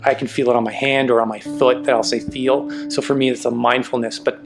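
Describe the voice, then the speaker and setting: A man hosting a podcast interview, enthusiastic and encouraging, often answering with quick affirmations. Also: mid-range voice, little pitch movement, vocal fry, over music. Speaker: podcast host. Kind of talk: conversational interview.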